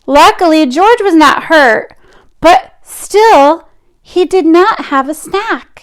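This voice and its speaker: A woman reading a picture book aloud to children, expressive, with drawn-out emphasis and chatty asides. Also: very high-pitched.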